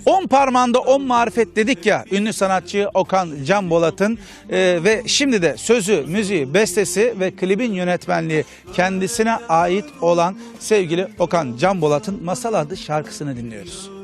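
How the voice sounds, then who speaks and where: Male host, upbeat announcer, high voice. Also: normal speed. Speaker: program host. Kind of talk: announcing a music video.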